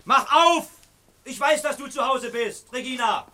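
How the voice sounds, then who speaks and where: An actor playing a drunken, aggressive and pleading former lover in a German radio play, insistent and blustering. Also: male voice, extremely high pitch, measured pace, over music. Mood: demanding, shouting.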